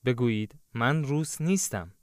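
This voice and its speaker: A man narrating a language lesson, giving clear, instructive prompts in a scripted style. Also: medium pitch, animated, very fast, no echo, clean studio sound.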